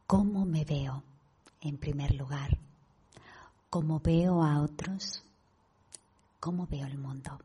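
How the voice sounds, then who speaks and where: Spanish lady from spain doing guided meditation, super breathy husky voice, mellow and calm, takes her time with pauses. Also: low voice. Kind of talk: guided meditation talk to an online audience.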